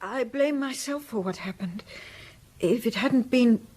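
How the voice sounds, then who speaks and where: An actress playing an anxious, guilt-ridden village wife in a radio drama, halting and self-reproachful. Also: female voice, on the high side, darkish tone, breathy edge. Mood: guilty, distressed.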